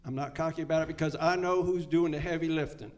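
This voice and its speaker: A man in his seventies speaks at a recovery meeting with a voice pitched a little higher than most men's. He is folksy and earnest, and he repeats his key lines so they land. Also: steady tone, fast, darkish tone. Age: 70s. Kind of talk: personal story told to a live meeting.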